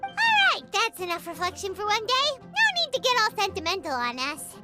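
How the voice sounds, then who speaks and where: Squeaky little fairy for a video game, super high, swoopy, excitable and blunt like a kid. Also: female voice, a little fast, loud music behind the voice, full character voice. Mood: impatient. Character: tiny chirpy childlike fairy companion, talks in third person.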